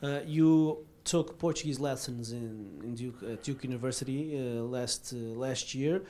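A man's voice: mid-range voice, unhurried, dark tone. A man with a mid-range voice works as the interviewer, asking loose questions. He stops and restarts often, with plenty of fillers between his words. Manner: hesitant, many fillers and restarts.

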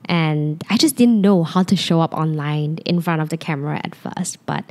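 A young woman with a Malaysian English accent that leans a little North American, speaking in a slightly low, relaxed voice. She talks openly and confidingly, and she rambles a little at times. She is recorded close on a handheld microphone while sitting on a sofa at home. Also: moderate pace. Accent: Malaysian English with North American influence. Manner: open, confiding, sometimes rambling. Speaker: podcast host. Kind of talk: casual solo podcast monologue. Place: living room, seated on a sofa.

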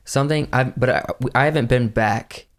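A young man with a slight Southern accent, co-hosting a casual podcast chat in a small studio on a boom-arm mic, relaxed and chatty. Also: normal speed. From Pennsylvania, United States. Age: young adult. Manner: relaxed, chatty, laughs often. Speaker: podcast co-host. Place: small studio room indoors.

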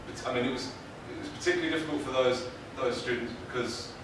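A man's voice: mid-range voice, fast. A young man answering audience questions as an academic presenter, thinking aloud in a hesitant, hedging way. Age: young adult. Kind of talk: academic Q&A, unscripted. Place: indoor university lecture room. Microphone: far camera. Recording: distant, very echoey, noisy lecture room.

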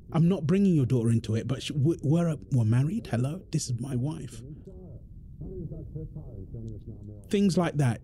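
A man's voice: medium pitch, mellow, a little hiss or hum, lightly acted. A British man playing an exasperated, defensive husband in an audio roleplay, arguing in an insistent, repetitive way. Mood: frustrated, accusing. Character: exasperated, defensive husband and father quarrelling indignantly. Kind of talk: dramatic one-sided argument roleplay.

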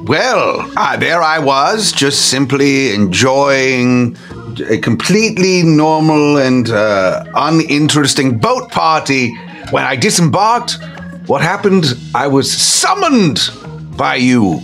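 A man's voice: mid-range voice, very animated, takes it slowly, a bit bright, soft background music, full character voice. A man voicing a sarcastic, theatrical vampire courtier in a tabletop game, with an exaggeratedly gallant way of talking.

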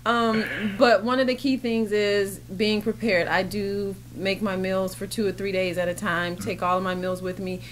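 Medium-pitched woman, faint venue noise. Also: flat delivery, moderate pace, hardly any pauses. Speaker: surgeon and competitor, interview guest. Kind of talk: casual, explanatory interview answers. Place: indoor backdrop set at a competition venue. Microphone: interviewer's handheld microphone. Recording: noisy event venue ambience.

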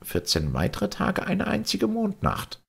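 Guy doing a calm martian explorer for an audiobook, raised voice, kinda husky, explains stuff patiently. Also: high voice, measured pace, pitched above the performer's own voice, exaggerated voice. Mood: patiently explaining. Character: Sirian, content, patient male Martian space traveller explaining. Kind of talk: audiobook character dialogue.

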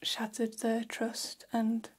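A woman's voice: on the high side, monotone, takes it slowly. A woman whispering as an elegant, gossipy female vampire hairstylist in an ASMR role-play, confiding and soothing, close to the microphone. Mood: hushed, confiding. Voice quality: breathy, whispered.

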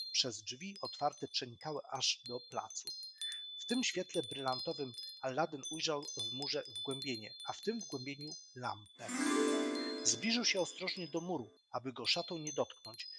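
A man narrating a fairy tale from a script in an expressive storytelling style. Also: mid-range voice, slight background noise.

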